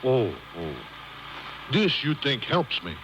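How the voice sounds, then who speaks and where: An actor playing an amiable, slow-witted cab driver in a 1950s radio drama, slangy, chatty and comically puzzled. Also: male voice, mid-range voice, very animated, a bit bright. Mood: puzzled, affable. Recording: dull, noisy cassette of old radio broadcast.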